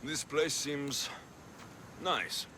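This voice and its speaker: An actor playing a gruff young mercenary warrior in a video game, blunt and dry, with a Greek accent. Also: male voice, fairly high pitch, expressive, very slow, background noise. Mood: wry, impatient. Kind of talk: cinematic video game acting. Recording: music behind the voice.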